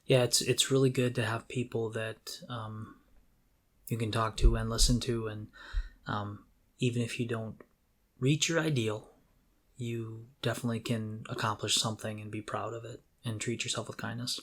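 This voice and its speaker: Husky man chatting on a podcast. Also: mid-range voice, steady tone, moderate pace, a bit bright. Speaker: podcast co-host. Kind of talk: casual chat.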